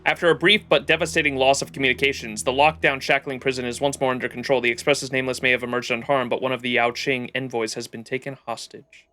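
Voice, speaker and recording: A man doing casual livestream commentary on a game, excitable and rambling as he chats. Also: medium pitch, fast, few pauses. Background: game music.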